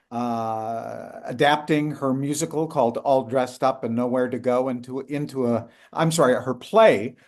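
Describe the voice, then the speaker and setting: An older man answering interview questions about co-writing a musical, speaking haltingly with many fillers and restarts. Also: mid-range voice. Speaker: guest, co-writer of a musical.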